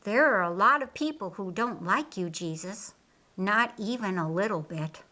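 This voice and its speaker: A woman voicing a warmhearted, outspoken grown sister in an audiobook, with a blunt, exclamatory manner. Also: medium pitch, lively intonation, normal speed. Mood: questioning. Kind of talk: audiobook character dialogue.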